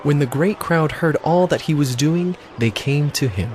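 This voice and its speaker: A man narrating scripture in an audio drama, reading in a steady, earnest way. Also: fairly high pitch, normal speed, a little hiss or hum. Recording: music bed behind voice.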